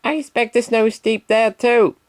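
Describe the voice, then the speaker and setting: Man as a chatty, high-voiced cautioning bus. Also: fairly even intonation, normal speed. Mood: concerned. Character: chatty male bus, cautioning, matter-of-fact.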